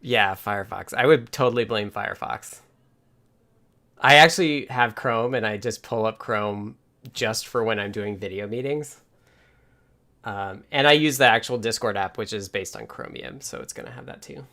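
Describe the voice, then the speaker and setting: A man's voice: lowish voice, moderate pace, creaky, sharp tone. A man hosting a livestream, talking casually and thinking aloud as he answers technical questions. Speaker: livestream host and software developer.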